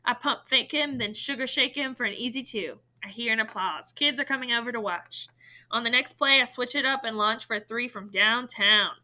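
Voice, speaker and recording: A woman reading a novel in verse aloud, in an expressive storytelling style. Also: a little high, normal speed, low-quality recording.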